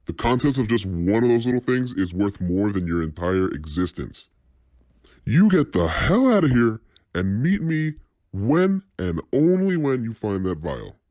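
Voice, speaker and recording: A man's voice: lowish voice, darkish tone, no echo. A man playing a cold, menacing crime boss in an audio drama, commanding and threatening. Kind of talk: dramatic audio scenario acting.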